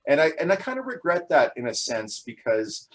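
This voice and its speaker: A man's voice: on the high side, very animated, fairly quick. A man in his forties, from Canada, answering interview questions in a casual, hesitant way with many fillers and restarts, often laughing. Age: 40s. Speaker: guest.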